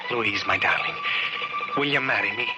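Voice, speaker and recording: An actor playing a doting, courtly young Edwardian husband in an old radio play. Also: male voice, mid-range voice, moderate pace, natural voice. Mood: lovestruck. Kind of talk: 1950s radio drama acting. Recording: very noisy old radio recording.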